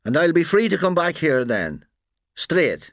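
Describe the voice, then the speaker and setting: Man acting out a wily, animated Irish poacher. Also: fairly high pitch, a little slow, no echo, clean studio sound, voice pushed up. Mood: bold. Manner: voluble, emphatic, folksy. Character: plump, wily male poacher spinning tall tales.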